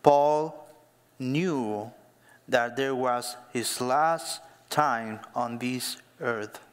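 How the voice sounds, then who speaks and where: A man in his thirties preaching a sermon as a pastor, speaking as an in a slow, emphatic way that builds to louder calls to action. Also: mid-range voice, clear, resonant tone. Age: 30s. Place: church hall. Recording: long hall reverb.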